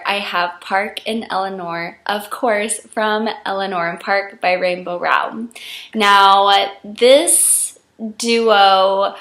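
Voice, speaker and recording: Froggy-voiced woman with a cold, slow and bright. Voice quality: froggy, deeper than usual. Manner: gushing, enthusiastic. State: hoarse from a cold. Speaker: book vlogger. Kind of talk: casual chat to camera.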